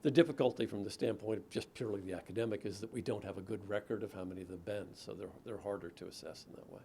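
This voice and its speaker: A middle-aged man speaks as an author fielding audience questions. His voice carries a gravelly edge. He talks in a measured, reflective way and restarts his sentences often. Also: mellow, a little hiss or hum. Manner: measured, thoughtful, with frequent restarts and low murmured "mm-hmm" while listening. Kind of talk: lecture Q&A answers.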